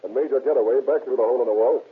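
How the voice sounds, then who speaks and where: An actor playing a shrewd, hard-nosed American police detective in a 1930s radio crime drama, brisk and insistent. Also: male voice, medium pitch. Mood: stern, accusing. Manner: brisk, insistent, matter-of-fact. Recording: muffled, raspy old radio recording.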